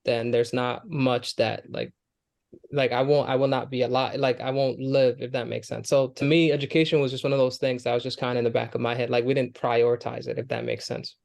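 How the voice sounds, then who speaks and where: An earnest young man tells his life story in a relaxed interview. His pitch sits in a middle range. He talks with lots of "like" and restarts. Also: brisk, vocal fry. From Kenya, Somali family. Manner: earnest, with frequent fillers and restarts. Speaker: guest, Air Force airman.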